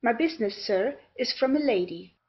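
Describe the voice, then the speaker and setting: An actress playing a haughty, loyal old gentlewoman servant in a period comedy, answering in clipped, tart phrases. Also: a little high, expressive, moderate pace, lo-fi sound. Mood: reserved. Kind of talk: theatrical period comedy acting.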